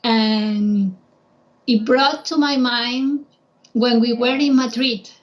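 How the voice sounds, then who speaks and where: A woman's voice: a little high, steady tone, slow pace, muffled recording. A woman from Spain with a Spanish accent, a guest on a travel podcast, telling stories in casual conversation with hesitant fillers.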